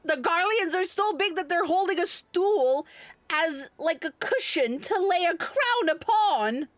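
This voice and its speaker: A woman livestreaming a video game with casual, halting, informal commentary. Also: very high-pitched, lively intonation, dry recording.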